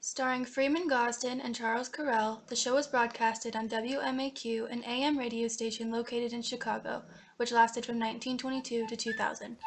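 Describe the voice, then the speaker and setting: A woman's voice: fairly high pitch, flat delivery, moderate pace. A young woman reading scripted documentary narration for a student history project.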